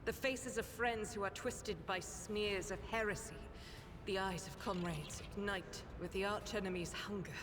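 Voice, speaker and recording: A woman voicing a zealous, devout warrior nun in a video game, speaking slowly and solemnly. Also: mid-range voice, background noise, natural voice. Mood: grim. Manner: solemn, slow, prayer-like delivery. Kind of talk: voiced game dialogue. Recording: echoey hall reverb under game music. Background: game music.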